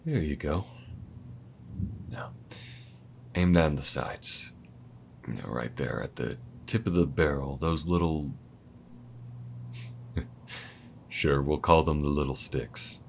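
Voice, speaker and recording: A man voicing a calm male alien gunslinger in an audio roleplay, speaking to the listener in a slow, folksy drawl with a patient, protective manner. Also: a bass voice, gravelly, a little hiss or hum, full character voice. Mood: patient instruction. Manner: patient, steady instructing.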